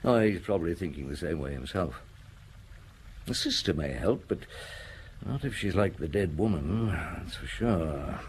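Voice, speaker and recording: A shrewd middle-aged detective in an audio drama, with a low voice that moves expressively. He muses step by step with dry humour, over faint background noise. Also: expressive, measured pace. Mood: dryly amused. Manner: musing, methodical, dryly humorous.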